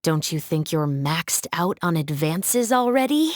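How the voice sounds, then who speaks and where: Animated, prim female officer. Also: fairly low pitch, a little slow, dry recording, studio quality. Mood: wry, chiding. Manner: formal, scolding, wry. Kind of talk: video game character acting.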